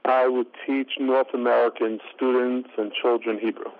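A man giving an interview, speaking haltingly with many fillers. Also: mid-range voice, flat delivery. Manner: halting, full of fillers like "uh, you know". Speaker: interview guest. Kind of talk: interview over the phone. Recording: tinny phone line.

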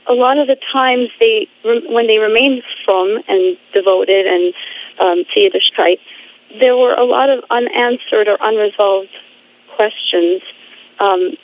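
A woman speaking as a guest in a phone interview, halting and repeating words while she searches for her phrasing. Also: fairly high pitch. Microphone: phone line. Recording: tinny phone line.

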